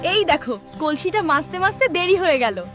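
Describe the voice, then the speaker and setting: A timid village woman, played by a female voice actor, speaks very high with lively swings. She is worried and dramatic in cartoon fashion, with music behind her. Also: normal speed. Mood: chatty. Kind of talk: children's fairy-tale cartoon dub.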